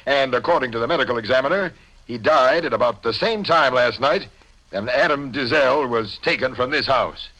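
A man's voice: mid-range voice, a little fast, bright, forceful tone, low-quality recording. An American man playing a businesslike, authoritative police captain in an old radio crime drama. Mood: grave, brisk. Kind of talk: 1950 radio acting. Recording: old 1950 radio broadcast recording.

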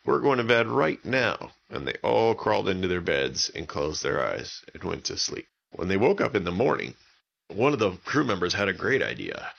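Deep-voiced man telling kids' bedtime stories. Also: sing-song, normal speed, vocal fry, fairly bright tone, slightly rough, dull audio. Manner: simple, repetitive wording for young children. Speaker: children's story podcast narrator. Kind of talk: improvised bedtime storytelling for kids.

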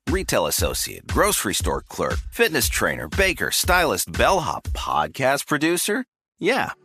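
A man announcing a commercial in a punchy, playful way. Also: mid-range voice. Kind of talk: upbeat commercial.

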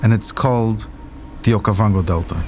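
A man narrating a scripted documentary podcast, telling the story dramatically with deliberate pauses. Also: lowish voice, a little mellow, a little hiss or hum.

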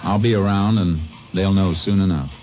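Man acting texas ranger lawman, very deep, terse, determined, muffled old radio. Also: moderate pace, close to an everyday voice. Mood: firm. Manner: terse, low-key and determined. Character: steady male Texas Ranger lawman, laconic. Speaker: lead actor and first-person narrator. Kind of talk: 1950s radio drama acting. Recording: muffled vintage radio broadcast.

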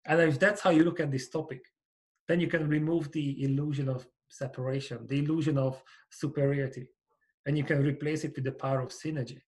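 A man's voice: on the high side, a little mellow. A man from Hungary with a Hungarian accent, a company founder and coach speaking earnestly as a podcast guest, letting his phrases fade at the ends. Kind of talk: podcast interview.

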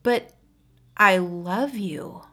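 A woman's voice: mid-range voice, drawn-out words, fairly bright tone. A woman voicing a bewildered, wounded young man in a story, speaking in an emphatic, stammering, incredulous way. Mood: desperate. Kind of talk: dramatic audiobook character voice.

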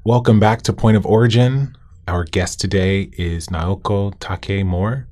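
A man hosting a podcast interview, asking questions in a polite, measured way. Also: low-pitched, fairly even intonation, darkish tone. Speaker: podcast host.

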